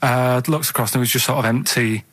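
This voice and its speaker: Deadpan Northern English man rambling through a story. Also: mid-range voice, quick pace, dry recording. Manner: deadpan, matter-of-fact, defensive. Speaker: radio show sidekick telling anecdotes. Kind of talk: casual rambling anecdote.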